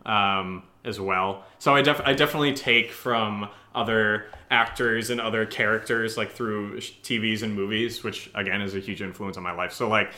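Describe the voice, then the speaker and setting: Male co-host, low register, sharp bright tone, rambling informal chat. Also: steady tone, moderate pace, few pauses. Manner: rambling, peppered with "like". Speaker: audio drama writer and voice actor, co-host. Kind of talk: casual Q&A chat.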